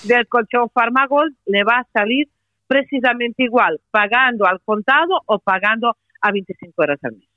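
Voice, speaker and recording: A woman's voice: a little high, normal speed, dry recording. Woman with a Portuguese accent on the phone. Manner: persuasive, many hesitations and "eh" fillers. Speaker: company sales representative. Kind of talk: sales pitch on a radio call-in. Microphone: telephone. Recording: tinny phone line, dry.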